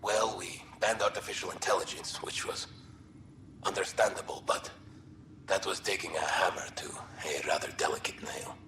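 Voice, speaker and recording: An actor voicing a proud, learned alien historian in a video game, speaking in a measured, condescending, scholarly way. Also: male voice, low-pitched, bright, forceful tone. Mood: scholarly, superior. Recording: echoey room, faint noise.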